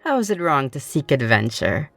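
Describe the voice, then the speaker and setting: Woman as low-voiced, genteel young vampiress. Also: very animated, moderate pace, gravelly, full character voice. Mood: curious, upbeat. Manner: formal, genteel, reassuring phrasing. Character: optimistic, curious, courteous newly turned vampire woman. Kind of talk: ASMR roleplay. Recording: music behind the voice. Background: music.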